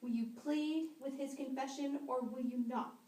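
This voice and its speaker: A woman's voice: sits high, steady tone, normal speed, dark tone, close to an everyday voice. A woman playing a stern, unbending male judge from Puritan times in an amateur play, declaiming pompously. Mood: scornful, demanding. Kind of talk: amateur stage-play acting. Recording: echoey room, distant mic.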